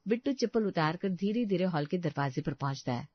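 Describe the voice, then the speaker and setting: Low-voiced woman storyteller, dry muffled recording. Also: expressive, brisk, dry recording. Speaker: narrator. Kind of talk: scripted cartoon story narration.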